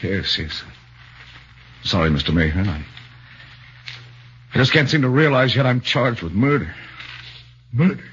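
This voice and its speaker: A man's voice: medium pitch, lively intonation, measured pace, long pauses, fairly bright tone, highs cut off, slight background noise. An actor in his thirties in a 1940s radio drama plays a young man accused of murder, earnest and flustered, often stammering. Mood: desperate. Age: 30s.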